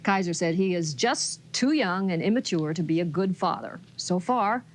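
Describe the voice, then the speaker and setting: A woman's voice: lowish voice, expressive, moderate pace. A woman anchoring a local TV newscast on a noisy 1980s broadcast tape. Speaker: TV news anchor.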